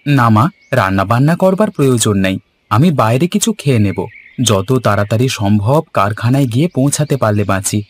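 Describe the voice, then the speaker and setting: A man's voice: mid-range voice, lively intonation, a little fast, close to an everyday voice. A man voicing a dutiful, earnest young son in a cartoon, speaking politely and eagerly. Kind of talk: Bengali cartoon voice acting.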